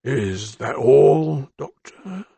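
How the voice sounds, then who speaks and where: A man's voice: medium pitch, big pitch swings, extremely slow, vocal fry, mellow, slightly rough, dry recording, exaggerated voice. A man voicing a timid, grasping ironmonger with a thin, bleating voice and a wheedling, deferential manner. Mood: sly. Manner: wheedling and deferential, keeps saying sir. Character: timid, grasping male shopkeeper, working class.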